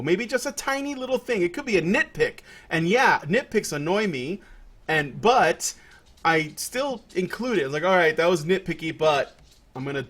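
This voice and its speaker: Guy from puerto rico hosting a podcast, kinda high voice, rambly, restarts a lot. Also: a bit bright. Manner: rambling, many restarts and fillers. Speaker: podcast host. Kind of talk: casual chat.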